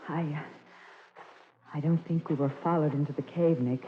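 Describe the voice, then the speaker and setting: A woman's voice: low voice, fairly even intonation, moderate pace, close to an everyday voice. A woman playing a plucky but nervous detective's assistant, eager and questioning, in 1940s radio drama style. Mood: frightened. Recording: tinny, hissy old radio recording.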